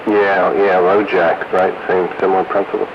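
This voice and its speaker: Deep-voiced man on muffled CB radio. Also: flat delivery, moderate pace. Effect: CB radio transmission. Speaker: radio hobbyist caller. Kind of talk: casual radio chat. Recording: muffled radio with clear hiss.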